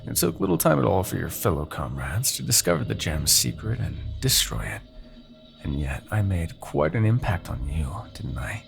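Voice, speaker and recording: Man acting a smug, gravelly, deep-voiced Demon King. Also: sing-song, faint music behind, exaggerated voice. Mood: coaxing, menacing. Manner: taunting, theatrical, drawn-out deliberate phrasing. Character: smug, commanding male Demon King, silkily menacing and possessive. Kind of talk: fantasy ASMR roleplay acting.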